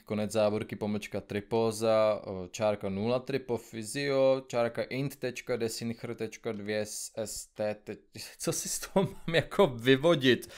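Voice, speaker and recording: A man's voice: mid-range voice, a little mellow, smooth, lightly acted. An actor playing a brooding, exasperated male detective in an audio drama, muttering as he goes. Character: brooding male detective searching for his lost brother.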